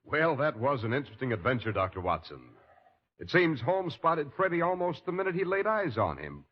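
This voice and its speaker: A man's voice: fairly high pitch, very animated, fairly quick, faint music behind. A man hosting an old radio drama in a scripted, conversational 1940s style. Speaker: radio show announcer and host. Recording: muffled vintage radio recording.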